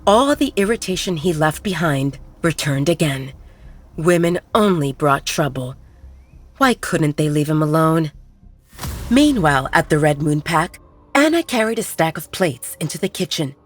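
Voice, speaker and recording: A woman's voice: low voice, very animated, moderate pace. A woman narrating a scripted romance audiobook in a dramatic storytelling style. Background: faint background music.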